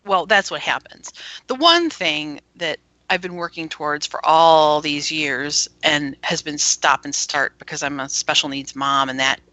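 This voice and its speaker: Bright-voiced chatty woman guest, laughing often. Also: deep voice, a little slow, dry recording. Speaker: internet radio station founder, guest. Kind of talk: casual interview chat.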